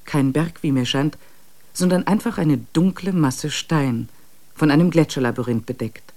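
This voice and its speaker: A male actor as a reflective diarist on a mountain expedition in a literary radio play. His voice is somewhat high for a man, and he narrates the journal in measured, slightly uneasy tones. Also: darkish tone, lightly acted. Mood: awed, uneasy.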